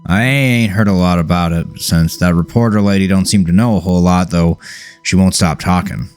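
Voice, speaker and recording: A man's voice: sits low, moderate pace, a little mellow, faint music behind, lightly acted. A man voicing a weary, caring, plain-spoken elderly father with folksy phrasing in an audiobook. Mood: weary concern.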